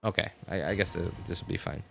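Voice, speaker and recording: A man's voice: sits low, quick pace, creaky, pushed and bright, slight background noise. A man rambling casually and repeating himself as he commentates on a game livestream. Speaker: live streamer. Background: video game dialogue and ambience.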